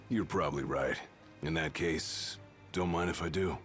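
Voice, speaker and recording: A man's voice: a bass voice, a little slow. An actor playing a brawny young male royal bodyguard who talks bluntly and cockily. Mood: reflective. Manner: gruff, blunt, cocky. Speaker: video game character. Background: game score music.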